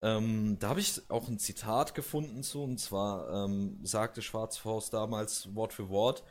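A man's voice: fairly low pitch, steady tone, a little slow, slight background noise. A man with a cold, casually explaining video game lore as host, with many hesitations and restarts. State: has a cold.

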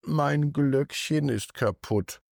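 A man voicing a small, sad little rabbit with a squeaky, piping voice in a bedtime story. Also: takes it slowly, creaky, darkish tone, dry recording, clean studio sound.

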